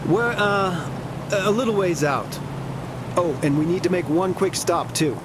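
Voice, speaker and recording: An actor playing a laid-back driver who speaks casually and offhand. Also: male voice, sits high, unhurried, background noise. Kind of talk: video game cutscene dialogue.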